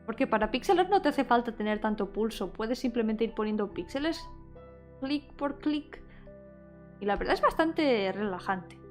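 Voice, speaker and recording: A woman's voice: a little high, lively intonation, a little fast, darkish tone. A woman chatting casually on an art livestream, thinking aloud in a playful, self-deprecating way. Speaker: VTuber art streamer and game developer. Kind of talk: casual livestream chat while drawing, switching between English and Spanish. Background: background music.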